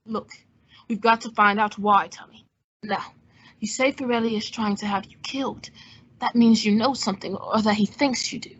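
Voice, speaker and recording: A woman's voice: mid-range voice, fairly even intonation, normal speed, a bit bright, slightly rough. A woman playing a suave, wisecracking male adventurer-detective in an old-time radio drama, with a wry, composed, teasing manner. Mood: urgent, firm. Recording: dull, muffled lo-fi sound.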